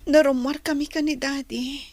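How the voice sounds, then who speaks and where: A woman's voice: high-pitched, fairly even intonation, moderate pace, darkish tone, no room sound, close to an everyday voice. A woman playing an anxious, vulnerable daughter confiding in her mother in a radio drama. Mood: worried, pleading. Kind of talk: radio drama acting in emotional dialogue.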